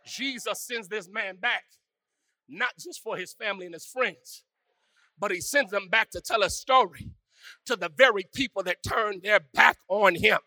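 A pastor preaching a sermon with call-and-response, swinging from hushed musing to shouted, sung climaxes. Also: male voice, high-pitched, normal speed, dry recording. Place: church.

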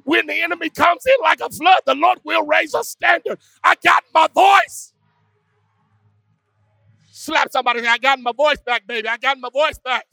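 A pastor preaching a sermon with call-and-response, swinging from hushed musing to shouted, sung climaxes. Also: male voice, very high voice, normal speed, vocal fry, no echo. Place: church.

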